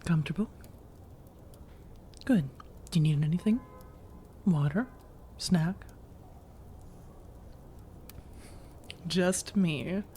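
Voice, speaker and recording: A woman playing a seductive, teasing vampire roommate in soft-spoken ASMR roleplay, coaxing and reassuring, often drifting into whispers. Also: fairly low pitch, slight background noise, natural voice. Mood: flirty, teasing.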